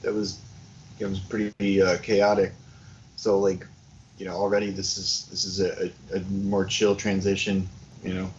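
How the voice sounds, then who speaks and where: A man's voice: low voice, monotone, moderate pace. A man co-hosting a political show, chatting in loose, colloquial commentary full of filler words. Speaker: political talk show co-host. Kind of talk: casual chat, commentary. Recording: dull lo-fi audio with noise.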